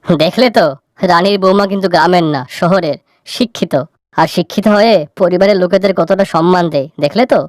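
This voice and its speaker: A woman's voice: lowish voice, fairly quick, dry recording, studio quality, lightly acted. A woman voicing a firm, fair-minded middle-aged father in a cartoon. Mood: reproving. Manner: reproving, insistent.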